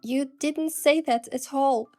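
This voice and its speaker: A woman voicing a curious young adventurer heroine, telling the story in the first person with earnest, expressive delivery. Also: high voice, takes it slowly. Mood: flustered, curious. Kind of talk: scripted first-person narration and dialogue. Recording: music behind the voice.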